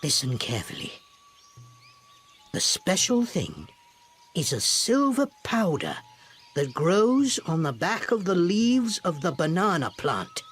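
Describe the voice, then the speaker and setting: A woman voicing a wily, secretive old father-in-law in a storybook tale, speaking emphatically with dramatic pauses. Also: fairly low pitch, huge pitch swings, husky, pitched below the performer's own voice, heavily acted. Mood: hushed, instructive.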